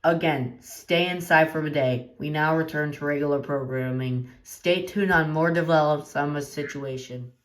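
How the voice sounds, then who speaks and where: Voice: mid-range voice, normal speed, few pauses, a bit bright, echoey room, a little hiss or hum, poor audio. A synthetic-sounding announcer reading a scripted emergency alert in a formal, stilted manner. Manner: formal, stilted warning delivery.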